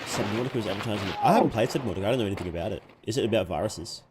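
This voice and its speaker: A man's voice: fairly low pitch, very fast, very noisy. A man giving casual live reaction commentary as a streamer, questioning things and thinking aloud.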